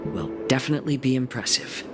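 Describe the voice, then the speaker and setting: Man playing a calm, weary, courteous healer. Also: medium pitch, lightly acted. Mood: reflective. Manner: measured, polite, gently formal. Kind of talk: video game voice acting. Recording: music behind the voice.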